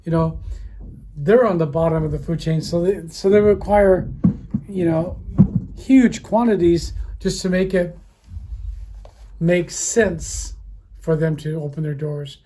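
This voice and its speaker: A man's voice: on the high side, unhurried, long pauses, warm tone. A man in his fifties talking casually off the cuff about his trade, blunt and informal, recorded indoors from a camera a short way off. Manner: blunt, informal, occasionally swearing. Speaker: speaker manufacturer presenting a video. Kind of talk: casual off-the-cuff industry talk. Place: indoor audio room with wood-block wall. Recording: clearly noisy room ambience.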